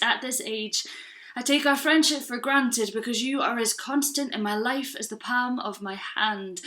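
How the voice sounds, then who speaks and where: A woman in her twenties from Scotland recites a spoken word poem in a rhythmic, earnest cadence. Her voice is bright and sits a little high. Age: 20s. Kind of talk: spoken word poetry performance.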